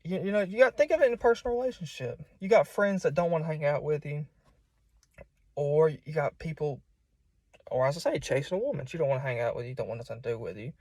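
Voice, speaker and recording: A man's voice: on the high side, animated, fast, darkish tone, no echo. A young man with a Southern US accent, preaching in an informal, folksy and conversational way. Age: young adult. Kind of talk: informal sermon. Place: solo self-recording.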